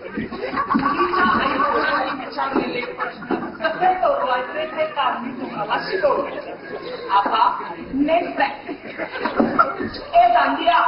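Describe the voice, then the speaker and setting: A man playing a bossy, exasperated coach in theatrical stage comedy, commanding and scolding his trainees. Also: extremely high pitch. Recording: distant, echoey, noisy, muffled stage recording.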